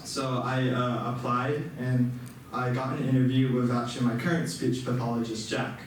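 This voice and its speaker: A man's voice: mid-range voice, flat delivery, normal speed, a little hiss or hum. Young man who stutters, echoey hall. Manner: stutters with repeated sounds and restarts, earnest. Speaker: student speaker. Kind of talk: personal speech to an audience. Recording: echoey hall, mic far off.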